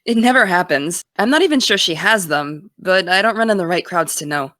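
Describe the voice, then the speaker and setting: A woman's voice: on the low side, animated, fairly quick, bright, forceful tone, no echo, clean studio sound. A woman playing a driven, distracted college-bound young woman in an audio drama, speaking casually and wryly. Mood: wry, relaxed. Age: young adult. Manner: casual, wry. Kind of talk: naturalistic audio drama dialogue.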